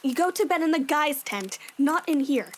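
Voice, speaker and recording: Woman as a high-voiced, no-nonsense young warrior woman. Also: animated, normal speed. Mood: scolding, firm. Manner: firm, matter-of-fact. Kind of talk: fan audio drama acting.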